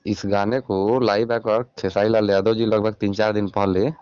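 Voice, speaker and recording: A Bihari man with a low, clear voice presses someone over the phone as a channel reporter. He is insistent and scolding, and the call sounds dull and dry, with little room sound. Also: little pitch movement, moderate pace, a little mellow, no room sound. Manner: insistent, admonishing. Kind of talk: confrontational phone interview. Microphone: recorded phone call.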